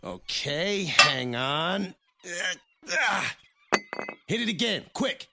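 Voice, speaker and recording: A man acting in an audio drama as a brisk, exasperated take-charge guy fixing a boat. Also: high-pitched, big pitch swings, gravelly, heavy background noise, exaggerated voice. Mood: busy, playful.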